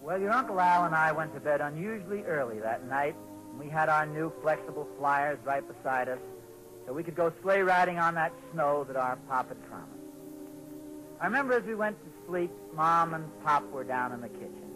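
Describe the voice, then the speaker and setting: A man's voice: on the high side, moderate pace, music in the background, lightly acted. A man playing a hopeful, anxious young father in a 1940s radio sitcom, speaking in a polite, mild, slightly hesitant way. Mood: fond, nostalgic. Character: hopeful, anxious young father of small boys, storytelling dad. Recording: noisy vintage 1940s radio recording.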